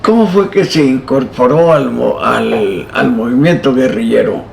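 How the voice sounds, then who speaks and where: A man interviewing a pastor, asking slow, gently probing questions about his life. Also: medium pitch, vocal fry, a little hiss or hum.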